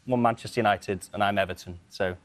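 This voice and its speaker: A man's voice: fairly low pitch, steady tone, fast. A man, a quiz contestant who laughs and stumbles over his words. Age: 30s.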